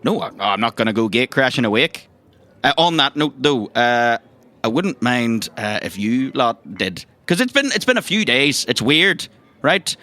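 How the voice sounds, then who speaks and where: An actor playing a chatty, thirsty agency boss in a comedic audio drama, with a Northern Irish accent and a rambling, hesitant, colloquial way of talking. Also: male voice, medium pitch, very animated, moderate pace, pushed and bright. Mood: wistful, persuading. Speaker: mission giver briefing recruits.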